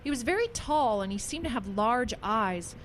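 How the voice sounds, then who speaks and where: A woman plays a folksy small-town neighbour in a radio play. She chats along in a fairly high voice, with a faint noise in the background. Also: sing-song, moderate pace. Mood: puzzled. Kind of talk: audio drama acting.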